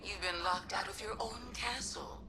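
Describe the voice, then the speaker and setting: A woman playing a scheming alien witch queen in a game cutscene, taunting and philosophical in a measured, theatrical, breathy delivery, with music underneath. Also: mid-range voice, background noise, lightly acted. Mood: mocking sympathy. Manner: measured, theatrical, drawn-out musing. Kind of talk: scripted game cutscene monologue.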